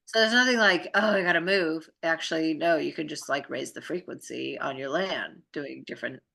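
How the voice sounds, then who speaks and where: Female talk guest, bright forceful edge with vocal fry, informal explainer. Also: low voice, normal speed. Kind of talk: casual chat, explaining energy practices.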